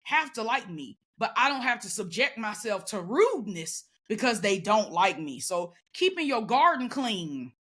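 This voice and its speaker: A woman talking casually as a guest, loud and emphatic with a sassy edge. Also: mid-range voice. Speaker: podcast guest. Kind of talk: casual conversation.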